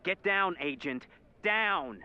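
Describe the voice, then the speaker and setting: An actor playing an alert, earnest male spy handler in an interactive audio game, giving directives in a crisp, urgent manner. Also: sits high, animated, takes it slowly, bright, forceful tone, dull audio, faint music behind, full character voice. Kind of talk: scripted interactive game acting.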